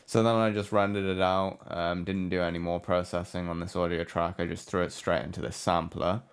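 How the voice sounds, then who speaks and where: A man giving a casual software tutorial in a hesitant, offhand, unscripted way. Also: deep voice, little pitch movement, a little fast. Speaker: music production tutorial host. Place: indoor room with a window.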